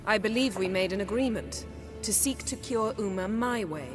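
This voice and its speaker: An actress voicing a proud, sharp-tongued fantasy sorceress who speaks in an articulate, sardonic way for a video game. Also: female voice, medium pitch, normal speed, music in the background, natural voice. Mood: challenging. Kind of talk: cinematic game voice acting.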